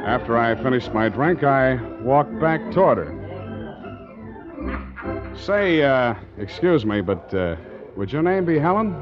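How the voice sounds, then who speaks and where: An actor playing a tough, wary American sea captain and narrator in a 1940s radio drama, with a clipped, wry delivery. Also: male voice, mid-range voice, big pitch swings, a little slow, long pauses. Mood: wry, probing. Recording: dull old radio recording, organ music.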